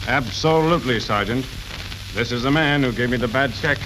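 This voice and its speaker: A man's voice: mid-range voice, normal speed, close to an everyday voice. A man playing an anxious, easily flustered businessman in a 1930s radio comedy. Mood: firm. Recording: crackly, hissy 1930s radio recording.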